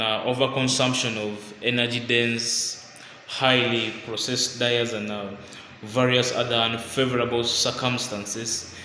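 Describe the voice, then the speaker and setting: A man from Uganda, giving an informal health talk and often pausing with fillers and restarts. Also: medium pitch, sharp tone, slight background noise. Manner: halting, many fillers and restarts. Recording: echoey room with long reverb.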